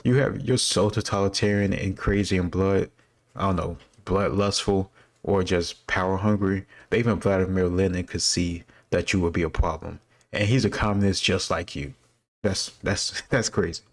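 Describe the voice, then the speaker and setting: A man's voice: sits low, fairly even intonation, moderate pace. A man giving casual, explanatory commentary and thinking aloud as he answers quiz questions, opinionated and repeating himself for emphasis.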